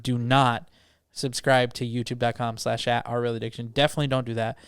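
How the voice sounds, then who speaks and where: Playful young man, very clear voice. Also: mid-range voice, dry recording, studio quality. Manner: joking, playful mock outrage. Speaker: podcast co-host. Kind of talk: casual chat. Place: home studio, indoors. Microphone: studio mic with headphones.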